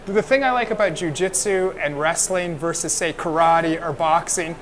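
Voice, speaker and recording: A young American man interviewing a guest on a film set, chatty and joking about himself. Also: a little high. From United States. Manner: chatty, self-deprecating, quick to joke. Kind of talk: casual interview chat. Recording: loud background noise on set.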